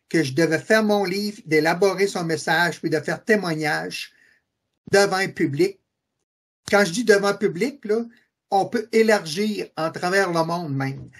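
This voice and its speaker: Husky-voiced Québécois man, fervent testimony. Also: high-pitched. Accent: Québécois French. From Quebec City, Canada. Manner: fervent, repeats affirmations, many hesitations. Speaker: guest. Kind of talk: earnest interview testimony.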